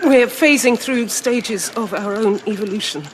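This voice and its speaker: A performer playing a weary, ill soldier in an audio drama, speaking with slow fatalism. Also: mid-range voice, very noisy, natural voice. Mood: fatalistic. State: ill, coughing.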